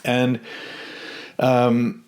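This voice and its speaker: A man's voice: medium pitch, monotone, extremely slow, a little mellow, smooth. Hesitant man telling his story. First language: English. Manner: hesitant, many ums and restarts. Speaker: vlogger. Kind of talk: casual personal storytelling.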